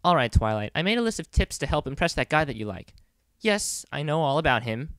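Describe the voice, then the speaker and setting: Nosy young cartoon sidekick done by a man, chatty, cheeky, rambling, highish clear voice. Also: sing-song, brisk, fairly bright tone, no room sound. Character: chatty, nosy young personal assistant to a pony. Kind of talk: ad-libbed cartoon parody audition example.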